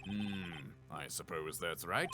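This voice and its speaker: A deep-voiced elderly judge, acted out by a man presides over a video game trial. He speaks low and ponders each point slowly and hesitantly. Music plays clearly behind him. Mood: ponderous. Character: slow, ponderous elderly male courtroom judge.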